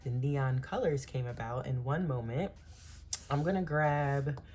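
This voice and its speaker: Very low-voiced chatty woman, stuffy. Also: a little mellow. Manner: chatty, many ums, Southern-style "y'all". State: stuffy from a cold. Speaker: planning channel host. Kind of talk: casual voice-over while digital planning.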